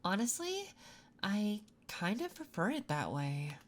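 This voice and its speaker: A soft-spoken woman performing an intimate ASMR roleplay as an interviewer, talking gently and a little flirtatiously with pauses between phrases. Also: medium pitch, sing-song. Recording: clear background noise. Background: computer keyboard typing and clicks.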